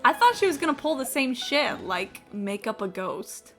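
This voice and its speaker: A woman live streaming a game, chatting casually with expressive storytelling and casual swearing. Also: fairly high pitch, normal speed. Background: game music.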